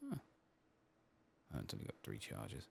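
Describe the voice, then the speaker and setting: A man with a very low, creaky voice gives soft ASMR gaming commentary. He rambles casually to himself, with pitch that moves around freely, over faint noise and clicking. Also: huge pitch swings, moderate pace. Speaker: streamer playing a video game.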